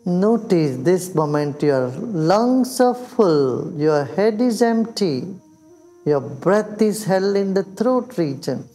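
An older man with an Indian accent guides a meditation in a soft, mellow, high-pitched voice. He speaks in a soothing, unhurried manner and leaves long pauses between phrases. Music plays behind him. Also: lively intonation. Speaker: meditation teacher. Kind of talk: guided meditation in English and Hindi. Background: background music.

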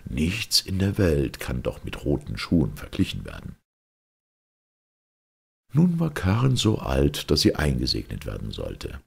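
A man with an extremely low voice reads a fairy tale aloud. His tone is rough and husky, crackling with fry, and he tells the story with dramatic emphasis. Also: huge pitch swings, measured pace. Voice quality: gravelly, husky. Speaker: audiobook narrator.

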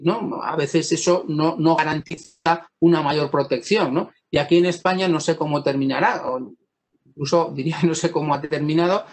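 A man from Spain giving an academic law lecture over a video call, pausing often and restarting his sentences. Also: on the high side. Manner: thoughtful, many pauses, fillers and restarts.

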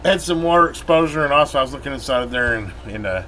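A man's voice: medium pitch, quick pace. A middle-aged American man giving casual, rambling repair commentary, thinking aloud in a folksy way. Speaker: repair channel host. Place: indoor workbench. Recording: steady room hum, air-conditioner-like noise.

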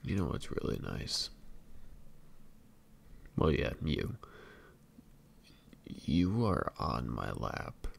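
A man playing an affectionate, clingy emo boyfriend in an intimate ASMR roleplay, murmuring tenderly and haltingly with a breathy, gravelly, very deep voice. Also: big pitch swings, exaggerated voice. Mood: adoring, tender. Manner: tender, unhurried, halting and doting.

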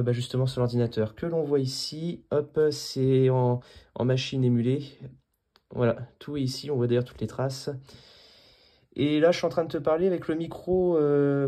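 A man's voice: mid-range voice, dark tone. A man chatting casually as an amateur radio operator while he demonstrates his setup. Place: small indoor room at a desk.

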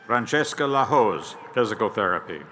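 A man reading graduates' names and fields of study at a commencement ceremony in a large outdoor stadium, announcing each one clearly and evenly. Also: on the low side, animated, normal speed, fairly bright tone, a little hiss or hum. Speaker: commencement name reader.

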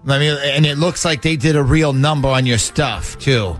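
A man's voice: medium pitch, moderate pace, soft background music, close to an everyday voice. A man playing a matter-of-fact police detective in a casual, official-sounding way on a prank phone call.

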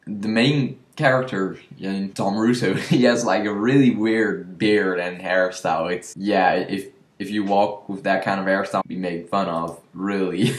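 A young man chatting casually as host of a movie review video, recorded indoors at a desk. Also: on the low side. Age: young adult. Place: small room with shelves, indoors. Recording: roomy indoor echo.

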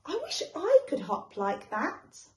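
A woman voicing a small, eager, loving young male hare from a storybook, in an earnest, childlike, expressive way. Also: high voice, slow, echoey room, pitched above the performer's own voice, heavily acted. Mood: impressed, wistful.

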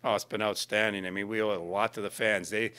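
Older male hockey coach, lowish voice, folksy and plain-spoken in post-game remarks. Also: steady tone, quick pace, bright, forceful tone, smooth. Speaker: ice hockey head coach. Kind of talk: post-game press comments. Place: indoor media backdrop at a rink. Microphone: microphone on a stand in front.

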